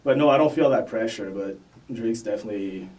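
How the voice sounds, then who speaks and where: A young man talks easily about his music, loose and unhurried, with plenty of fillers. His voice is mellow and soft-edged, and it sits a little back from the mic. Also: on the low side, recorded from a distance, low-quality recording. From Canada. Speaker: guest rapper. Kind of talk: casual interview chat.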